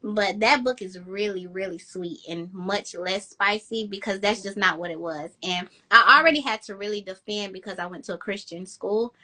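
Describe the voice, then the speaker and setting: A woman romance author in an interview, chatty and enthusiastic, with a high-pitched voice. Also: moderate pace, few pauses, sharp tone. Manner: chatty, enthusiastic, quotes herself with "girl". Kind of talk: casual interview chat.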